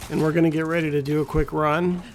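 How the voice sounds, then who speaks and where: A man with a scratchy, gravelly voice giving laid-back, rambling commentary as a gameplay guide. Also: fairly high pitch, level tone, fast talker, soft background music. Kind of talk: casual walkthrough commentary.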